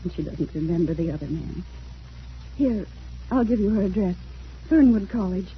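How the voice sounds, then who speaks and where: A woman's voice: medium pitch, lively intonation, normal speed, lightly acted. An actress playing an ailing, remorseful mother who pleads melodramatically in an old radio drama. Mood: pleading. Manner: pleading, melodramatic, self-reproachful. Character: ailing, remorseful, desperate mother pleading for her grown daughter. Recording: dull, noisy vintage radio recording.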